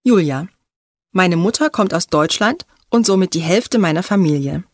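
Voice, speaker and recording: A woman reading a short first-person text aloud for a language listening exercise, measured and deliberate. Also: on the low side, expressive.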